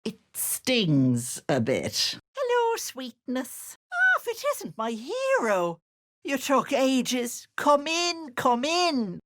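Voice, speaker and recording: An actress playing a sly old hag who poses as a sweet auntie in a video game, cooing and wheedling with menace underneath. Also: female voice, high-pitched, huge pitch swings, takes it slowly, a bit bright, heavily acted. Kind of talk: theatrical video game character acting.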